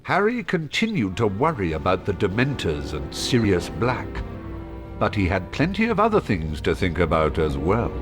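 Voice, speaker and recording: An actor narrating a video game story with a calm, portentous, polished storytelling delivery. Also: male voice, low voice, normal speed, over music. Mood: calm, foreboding.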